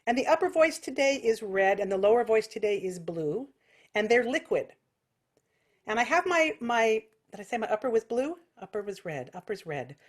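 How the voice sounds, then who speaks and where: A woman's voice: on the high side, expressive, moderate pace. A woman giving an informal singing lesson as a vocal technique teacher, explaining things with vivid imagery.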